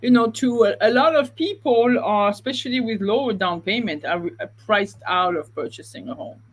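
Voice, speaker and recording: A woman co-hosting a real estate podcast, chatty and quick to laugh, often trailing off mid-thought. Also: mid-range voice, rough recording. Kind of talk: casual podcast chat.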